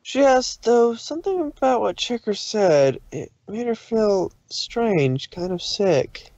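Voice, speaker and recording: A man's voice: high voice, lively intonation, takes it slowly, darkish tone, no echo, muffled recording. A man reading scripted story narration in a dramatic style.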